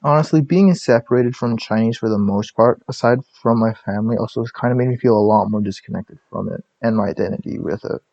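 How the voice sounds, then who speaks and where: A man's voice: lowish voice, fairly quick, vocal fry, few pauses, darkish tone, no echo, lo-fi sound. Rambling, self-mocking young man. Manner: rambling, self-deprecating, jokey asides. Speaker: YouTuber. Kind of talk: casual vlog narration.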